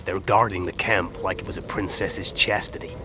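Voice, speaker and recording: A man's voice: deep voice, steady tone, normal speed, music in the background, natural voice. A man acting a bold, impatient assassin in a video game, talking in a blunt, sardonic way. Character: gruff male assassin, bold, impatient for action. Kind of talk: dramatic video game dialogue.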